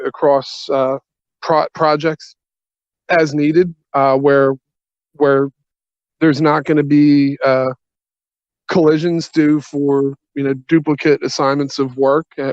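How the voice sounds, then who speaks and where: A man explaining a business pitch on a conference call, halting and long-winded, in earnest phrasing. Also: darkish tone, smooth. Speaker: software company representative pitching a partnership. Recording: dull, echoey conference call audio.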